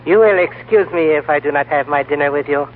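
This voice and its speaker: An actor playing a suave, formal male guide to a mountain lamasery, speaking in a courtly, measured way in a 1930s radio drama. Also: fairly high pitch, soft background music, lightly acted. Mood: calm courtesy. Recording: tinny old radio broadcast recording.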